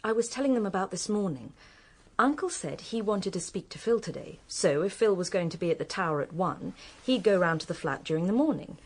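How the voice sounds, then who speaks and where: A woman's voice: mid-range voice, lively intonation, fairly quick, a little mellow, close to an everyday voice. Actress as candid, well-bred young British woman. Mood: matter-of-fact. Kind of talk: British radio drama acting.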